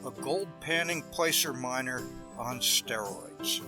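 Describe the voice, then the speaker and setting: A man's voice: mid-range voice, slow. A man giving scripted narration for a travel vlog. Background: music.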